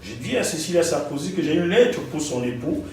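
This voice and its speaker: A man, a guest author recounting his path in an animated way, often repeating phrases for emphasis. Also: a little high, brisk. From Cameroon. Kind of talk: storytelling interview. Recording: echoey room, distant mic, faint music.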